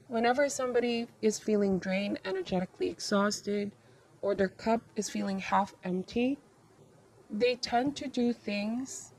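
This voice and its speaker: A woman hosting a tarot reading, speaking to viewers in short measured phrases with a reflective, advising manner. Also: mid-range voice, darkish tone. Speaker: tarot reader and channel host.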